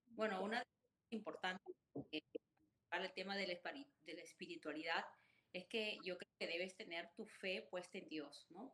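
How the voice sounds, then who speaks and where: A woman who is an author and CEO, speaking as a guest in a conversational interview. She talks earnestly at an ordinary pitch. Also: a bit bright.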